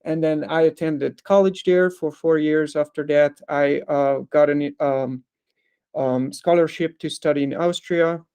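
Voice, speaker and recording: Man, gravelly, slightly high, mellow tone, video-call lecture, hesitant fillers. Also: fairly even intonation, measured pace. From Hungary. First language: Hungarian. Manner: hesitant, with frequent ums, uhs and likes. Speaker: guest speaker. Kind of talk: online lecture.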